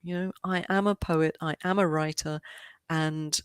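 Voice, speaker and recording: A woman's voice: low voice, fairly even intonation, unhurried, clear, resonant tone, no room sound, clean studio sound. A middle-aged woman, a native English speaker living in Switzerland, chatting as a podcast guest in an eager, rambling way with many restarts. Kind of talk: casual interview chat.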